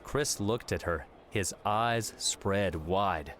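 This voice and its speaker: A man's voice: low voice, takes it slowly, fairly bright tone, slight background noise. A man reading scripted story narration as an audiobook narrator.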